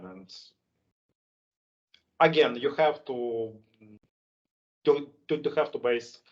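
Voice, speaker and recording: Man with a strong Russian accent, halting. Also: mid-range voice. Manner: halting, many restarts and fillers. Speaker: speaking club host. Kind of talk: casual online English lesson chat. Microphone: video call. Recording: lo-fi video call audio.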